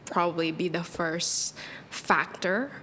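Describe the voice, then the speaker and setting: A woman's voice: fairly low pitch, steady tone, slow pace, pushed and bright, in a large hall, slight background noise. A young woman, a guest on a podcast, answering casually in short, matter-of-fact phrases. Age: young adult.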